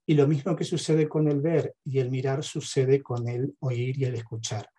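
A middle-aged man with an Argentine accent giving a reflective lecture, measured, with hesitant pauses between phrases. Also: mid-range voice, steady tone, dark tone. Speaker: speaker, theologian and counsellor. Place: home study with bookshelves. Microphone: headset on a video call.

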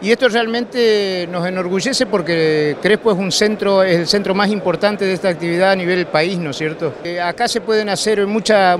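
An older man with an Argentine Spanish accent, speaking as a cooperative representative in a trade fair interview, talking fluently and filling with "digamos". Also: sits high. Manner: fluent, repeats phrases, fills with "digamos". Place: busy indoor exhibition hall. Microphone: handheld interviewer microphone. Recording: news camera at noisy expo.

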